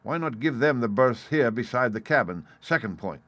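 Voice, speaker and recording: A man voicing a blunt, stern ship's captain in an audiobook, clipped and forceful in his plain speaking. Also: mid-range voice, animated, moderate pace, voice pushed up. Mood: firm, insistent. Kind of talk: audiobook character voice.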